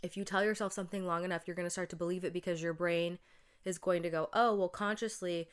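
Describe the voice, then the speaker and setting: A young woman with a low, smooth voice that sounds stuffed up from a cold. She hosts her own podcast in a loose, rambling chat, leaning on "like" and "um" as she goes. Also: steady tone, fast. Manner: chatty, lots of "like" and "um". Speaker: podcast host. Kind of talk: casual solo podcast monologue.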